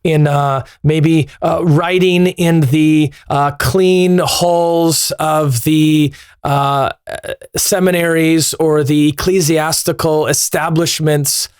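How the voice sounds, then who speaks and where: Brief: pastor, man, higher-pitched voice, dry close sound, reflective and searching. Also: fairly even intonation, takes it slowly, clean tone, no room sound. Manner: thoughtful, searching, poses long questions. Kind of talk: podcast discussion.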